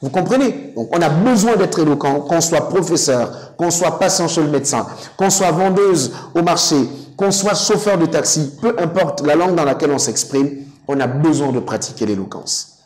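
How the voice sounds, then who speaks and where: Emphatic higher-pitched man. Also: animated, darkish tone. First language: an African language. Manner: emphatic, rhetorical, deliberate articulation. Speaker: interview guest, public speaking coach. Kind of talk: studio interview, explanatory and didactic.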